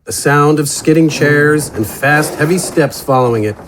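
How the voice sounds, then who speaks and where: A man's voice: a little high, normal speed, slight background noise. A man reading scripted fantasy narration in a dramatic storytelling style.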